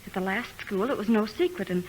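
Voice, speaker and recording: A woman's voice: medium pitch, lively intonation, quick pace, a little hiss or hum, lightly acted, low-quality recording. An actress playing a candid young widowed mother at college in an old radio drama. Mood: subdued, candid. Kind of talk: 1950s radio drama acting.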